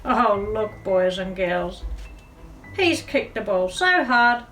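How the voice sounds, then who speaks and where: A woman's voice: mid-range voice, sing-song, slow pace, fairly bright tone, a little hiss or hum, poor audio. A woman reading a picture book aloud to young children, adding chatty asides and questions to her listeners. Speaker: children's storyteller.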